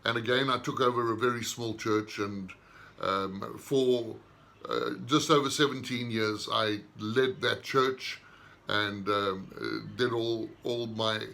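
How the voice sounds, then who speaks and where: A man, a minister giving an unhurried personal testimony in short, reflective phrases. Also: fairly low pitch, vocal fry, bright, forceful tone. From southern Africa.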